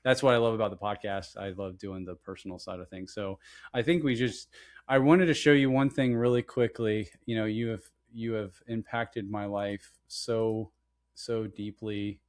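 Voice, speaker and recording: A man's voice: lowish voice, steady tone, normal speed. A man hosting an interview podcast over a video call, talking in a friendly, rambling way with lots of restarts and some teasing. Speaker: podcast host. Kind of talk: casual interview chat.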